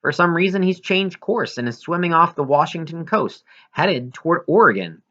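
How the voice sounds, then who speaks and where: A man's voice: fairly high pitch, lively intonation, moderate pace, a bit bright, dull audio, pitched above the performer's own voice. A man reading aloud as a folksy animal sanctuary staffer announcing a public update in an audiobook. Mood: upbeat, informative.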